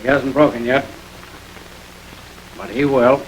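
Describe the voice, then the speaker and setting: An actor playing a gruff, menacing police officer who speaks bluntly, in 1940s radio acting style. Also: male voice, medium pitch, takes it slowly, slight background noise, lightly acted, poor audio. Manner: blunt, threatening. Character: gruff, menacing male cop.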